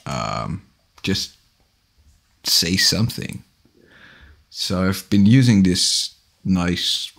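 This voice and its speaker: A man in his forties hosts a casual livestream from a small home office, speaking into a large studio microphone. His voice sits very low with a husky edge, and he talks at an unhurried pace. He rambles and corrects himself as he goes. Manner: relaxed, rambling, self-correcting. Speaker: livestream host. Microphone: large studio microphone on boom arm, headphones.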